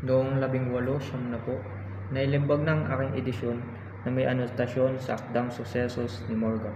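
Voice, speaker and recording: A man's voice: medium pitch, little pitch movement, moderate pace, lightly acted. A man with a Filipino accent playing an earnest young national hero, narrating his own travels in a student radio drama. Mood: earnest, solemn. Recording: muffled, noisy, echoey hall recording.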